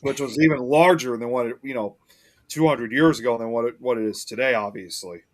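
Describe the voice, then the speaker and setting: A man's voice: mid-range voice, fairly quick. A man co-hosting a casual talk show, rambling in an easygoing way. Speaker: co-host. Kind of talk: casual chat.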